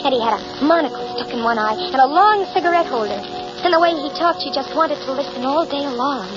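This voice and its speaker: A woman's voice: high-pitched, lively intonation, a little fast. An actress playing a girlish, coy American killer who feigns innocence in an old-time radio drama. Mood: dreamy, smitten. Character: girlish, falsely innocent cold-blooded female killer. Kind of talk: 1940s-50s radio drama acting, confessional narration. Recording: muffled old radio recording. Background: music.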